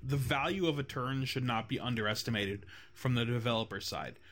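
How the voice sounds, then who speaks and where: A man's voice: medium pitch, fairly quick, a bit bright. A man hosting a casual game design podcast, talking in a loose, rambling way with many fillers. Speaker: podcast host.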